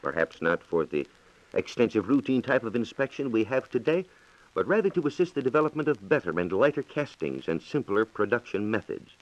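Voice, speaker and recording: A man's voice: medium pitch, very animated, a little fast. An American man reporting on science in a scripted, formal and precise 1940s radio style, on a dull, echoey old recording.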